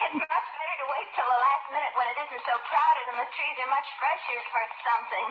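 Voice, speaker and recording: A woman's voice: high voice, normal speed, echoey room, highs cut off, close to an everyday voice. An actress playing a giggly, scatterbrained, boy-crazy young woman in an old radio comedy, with a gushing manner. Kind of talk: 1940s radio comedy acting. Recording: noisy, boxy old radio comedy recording.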